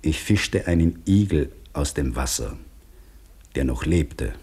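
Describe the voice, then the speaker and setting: Sober working man's diary: very low, dark tone, measured radio drama delivery. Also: expressive, breathy edge, heavily acted. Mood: sober, reflective. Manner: measured, terse, reflective. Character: sober, weary male dam labourer reading his diary.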